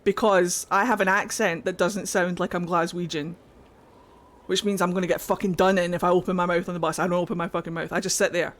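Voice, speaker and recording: A woman's voice: lowish voice, level tone, a little fast. A young woman from Glasgow chatting casually on a gaming stream, swearing freely and laughing often as she rambles. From Glasgow, Scotland. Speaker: game streamer. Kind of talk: casual livestream chat while gaming.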